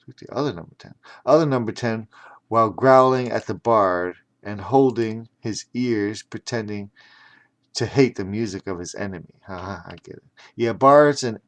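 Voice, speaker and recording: A man's voice: on the low side, normal speed. A man narrating a children's audiobook theatrically, breaking off for chatty explanatory asides.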